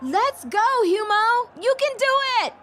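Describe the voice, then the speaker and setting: Audio drama casting: woman as a loyal, excitable high school girl, high voice with big swings. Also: takes it slowly, a little hiss or hum, full character voice. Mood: cheering.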